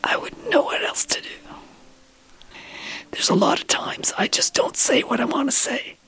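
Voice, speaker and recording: A woman schoolteacher speaking in an effortful, breathy whisper with very little voice behind it. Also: sits high, very animated, moderate pace, a bit bright. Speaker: French immersion elementary teacher.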